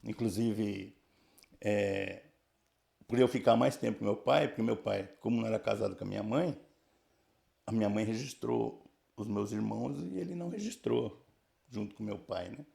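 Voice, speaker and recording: A man in his sixties from the São region of Brazil, telling his life story casually in a halting way, full of restarts and tag questions. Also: lowish voice, creaky, warm tone. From Brazil, São Paulo region. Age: 60s. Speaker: church member giving testimony.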